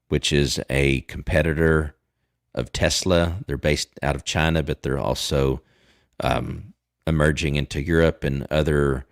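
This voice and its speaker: A man's voice: extremely low pitch, no echo, studio quality. A man in his forties with a Southern Texas accent, chatting casually as a livestream host and rambling with lots of fillers and restarts. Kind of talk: casual chat.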